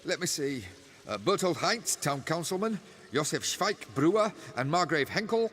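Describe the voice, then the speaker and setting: A man's voice: fairly high pitch, lively intonation, moderate pace, fairly bright tone, long reverb tail, a little hiss or hum. An actor voicing a fussy, nervous male bathhouse keeper in a video game, sounding flustered and fawning.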